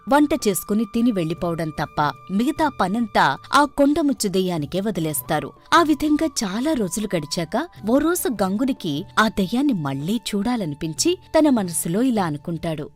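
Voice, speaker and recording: A woman's voice: mid-range voice, very animated, moderate pace, faint music behind. A woman storyteller reading scripted narration for a children's moral story.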